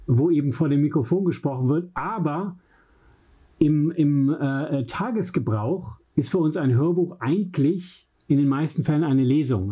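A German man chats casually as a podcast co-host. His voice sits a little high for a man, yet it is mellow and slightly husky. He talks in a joking, self-deprecating way. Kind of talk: casual chat. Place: home studio in an apartment.

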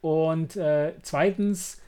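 A man's voice: on the high side, steady tone, extremely slow, dark tone, clear, resonant tone. A man co-hosting a podcast in casual chat, searching for his words as he goes. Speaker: podcast host. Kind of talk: casual chat about audio dramas.

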